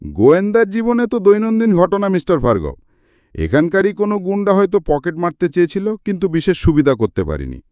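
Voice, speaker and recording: A man reading a self-assured, unflappable male detective in a story, with brisk, firm, questioning delivery. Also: high voice, wildly swooping pitch, a little mellow, no room sound, voice pushed up. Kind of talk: audiobook character dialogue.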